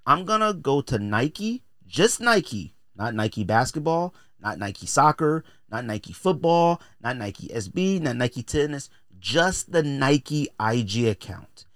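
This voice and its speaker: A man's voice: mid-range voice, sing-song, takes it slowly, few pauses, a bit bright, no room sound, clean studio sound. A man hosting a podcast in a casual, opinionated rant, emphatic and exasperated, repeating phrases to drive points home. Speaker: podcast host.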